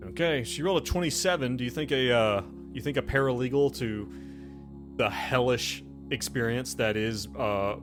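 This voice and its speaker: A man's voice: medium pitch, normal speed. A man chatting informally as the game master, casually narrating a tabletop game. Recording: background music under the voice.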